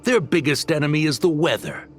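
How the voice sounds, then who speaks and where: An actor playing a brash, greedy desert mercenary leader in a video game, talking in a slick, pushy way. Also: male voice, on the high side, expressive, fairly quick, a bit bright. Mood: slick, persuasive. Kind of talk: scripted video game acting. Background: music.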